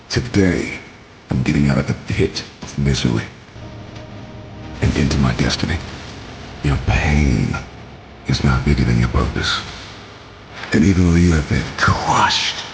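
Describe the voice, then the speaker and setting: A man giving a motivational speech in emphatic, deliberate phrases with rhetorical repetition. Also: a bass voice, vocal fry, background noise. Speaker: motivational speaker.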